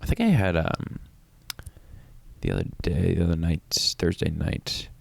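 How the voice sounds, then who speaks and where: A young man co-hosting a comedy podcast, riffing in a deadpan, casual way and repeating his points. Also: deep voice, unhurried, vocal fry, dry recording. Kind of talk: casual banter.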